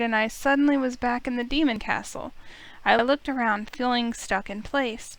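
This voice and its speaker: A woman's voice: a little high, a bit bright, poor audio. A woman hosting a visual novel playthrough, talking and reading aloud for her viewers. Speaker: let's play host. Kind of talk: casual intro and read-aloud story narration. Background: game music.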